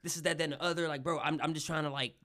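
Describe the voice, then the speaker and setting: A man's voice: a little high, rapid-fire, no room sound. A man in his twenties co-hosting a podcast, chatting casually, swearing often and laughing a lot in a small studio. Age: 20s. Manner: animated, profane, laughs a lot. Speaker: podcast co-host. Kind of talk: casual chat. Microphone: studio microphone.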